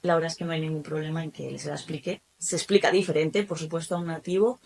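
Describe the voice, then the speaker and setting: Spanish woman on a podcast chatting away, lowish voice, story after story with lots of side comments. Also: quick pace, gravelly, a little hiss or hum. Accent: Spanish from Spain. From Spain. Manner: lively storytelling with many asides. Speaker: guest, German teacher and academy founder. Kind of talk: casual interview.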